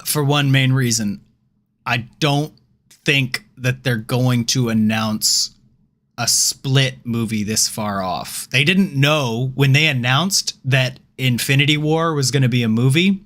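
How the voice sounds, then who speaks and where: A man co-hosting a casual podcast chat, opinionated and animated, swearing freely and tripping over his restarts. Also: mid-range voice. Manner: animated, opinionated, swears freely, repeats words when starting. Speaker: podcast co-host.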